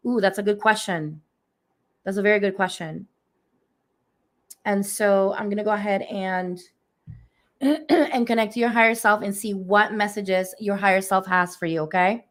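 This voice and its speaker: A woman speaking softly and slowly, her voice a little hoarse and raspy. She gives a spiritual reading over a livestream, pausing often with gentle fillers. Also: medium pitch. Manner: soft, unhurried, many fillers. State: slightly hoarse from allergies. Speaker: energy healer giving readings. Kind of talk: live-streamed spiritual reading. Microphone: phone or webcam on a livestream.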